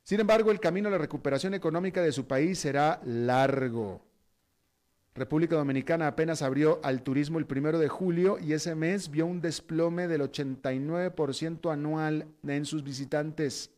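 Male news host, slightly high voice, chatty and opinionated, lots of fillers. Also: moderate pace, a little mellow. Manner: conversational and opinionated, with frequent fillers. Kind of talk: news commentary. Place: Costa Rica.

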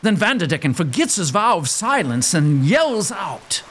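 Animated, high-pitched male storyteller. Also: moderate pace, slight background noise. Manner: theatrical, suspenseful. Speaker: narrator. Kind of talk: dramatic scripted storytelling narration.

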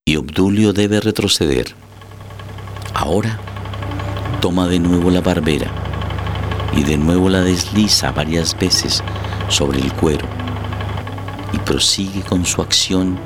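A man's voice: deep voice, slow pace, pauses often, noisy background. A man reading scripted dramatic narration as the narrator of a story. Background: music.

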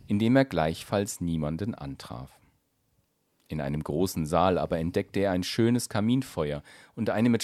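A man reading a fairy tale aloud as an expressive, scripted storybook narration. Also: on the low side, dry recording, clean studio sound.

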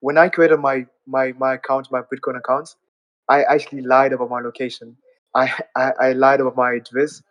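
A man's voice: mid-range voice, little pitch movement, brisk, no room sound, highs cut off. A man, earnestly explaining things step by step in a casual live audio chat. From Zimbabwe. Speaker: audience speaker.

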